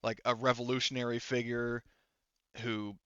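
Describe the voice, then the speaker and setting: A man works as game master in a tabletop game, explaining things off the cuff. His voice is smooth and of medium pitch, but his phrasing is halting and wandering. The recording is close and dry, a little muffled on top. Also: little pitch movement, fairly bright tone, dry recording. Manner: halting, filler-heavy, rambling explanations. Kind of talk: improvised tabletop game narration.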